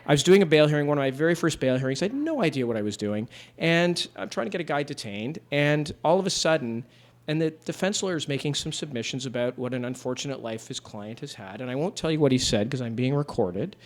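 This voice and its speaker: A man in his fifties from Canada, a judge telling stories to a room of people in a relaxed, wry, conversational way with fillers. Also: medium pitch, fast. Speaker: judge giving a talk. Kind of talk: relaxed storytelling talk to a live audience. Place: room with an audience.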